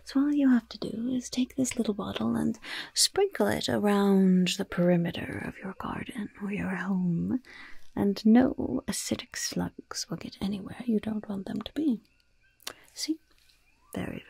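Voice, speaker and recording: A woman voicing a mischievous goblin shopkeeper in a breathy whisper, with coy, unhurried sales patter in an ASMR roleplay. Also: medium pitch, darkish tone, dry recording, exaggerated voice. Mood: coy, chatty. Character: mischievous female goblin shopkeeper selling poisons and curses.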